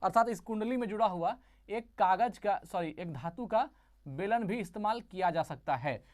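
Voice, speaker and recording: A woman's voice: medium pitch, lively intonation, moderate pace, darkish tone. A woman teaching a physics lesson who asks the class questions, answers them herself and repeats each key term.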